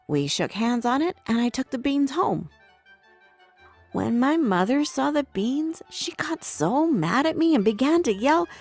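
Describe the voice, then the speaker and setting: A woman narrating a scripted bedtime story for young children in an expressive way, telling it as the main character. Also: high voice, creaky.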